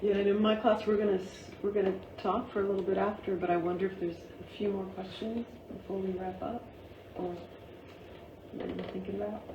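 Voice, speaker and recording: A woman's voice: mid-range voice, little pitch movement, a little fast, distant mic, noisy background. Reflective woman in a muffled, echoey room. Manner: reflective, emphatic, musing aloud in questions. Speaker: audience member giving play feedback, teacher. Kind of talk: informal post-reading discussion.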